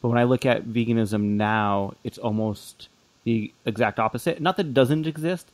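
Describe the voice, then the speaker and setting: A man's voice: medium pitch, normal speed, no echo. A man, hosting a podcast and interviewing in a conversational style. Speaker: podcast host.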